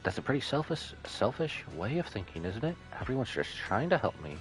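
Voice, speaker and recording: A man's voice: medium pitch, sing-song, brisk, lightly acted, rough recording. A man reading the lines of an earnest, grateful, self-doubting young woman from an anime game. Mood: sincere. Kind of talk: reading game dialogue aloud. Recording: game music behind voice.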